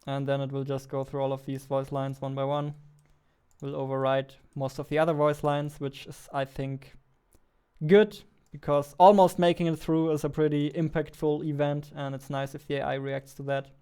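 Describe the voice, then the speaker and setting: A man in his twenties gives casual game development commentary as a non-native English speaker. His voice is smooth and a little high, and he takes his time as he thinks aloud. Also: little pitch movement, normal speed, mellow. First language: not English. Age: 20s. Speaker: indie game developer, streamer. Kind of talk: casual live coding commentary. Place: small indoor room at a computer.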